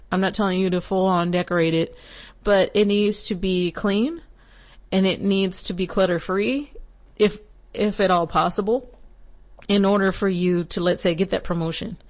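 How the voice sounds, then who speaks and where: A woman's voice: mid-range voice, fairly even intonation, moderate pace. A young millennial woman hosting a podcast, giving casual spiritual advice in a chatty, rambling way with frequent asides and laughs. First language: English. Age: young adult. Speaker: podcast host.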